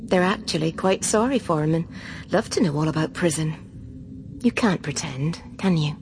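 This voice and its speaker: An actress in a radio drama playing a cheerful, down-to-earth wife with a plain-spoken, unruffled manner. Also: female voice, sits low, animated, normal speed, darkish tone, a little hiss or hum. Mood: frank, cheerful. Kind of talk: BBC radio drama acting.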